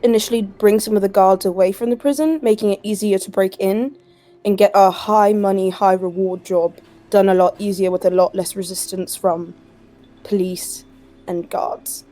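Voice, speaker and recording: Female tabletop player, dark warm timbre, persuasive and logical. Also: medium pitch, fairly even intonation, moderate pace, faint music behind. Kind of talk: in-character tabletop role-play discussion.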